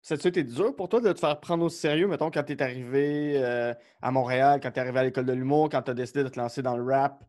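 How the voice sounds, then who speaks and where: A man's voice: mid-range voice, fast, hardly any pauses, darkish tone. A man with a Québécois French accent hosting a podcast, chatting and asking questions in an informal, agreeable way. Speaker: podcast host. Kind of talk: casual chat, interviewing.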